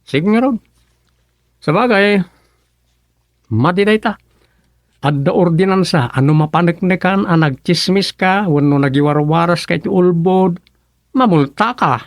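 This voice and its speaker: A man's voice: high voice, unhurried, long pauses, no room sound, clean studio sound. A man with an Ilocano Filipino accent playing a gossipy village official in a radio comedy drama. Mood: inquiring, official.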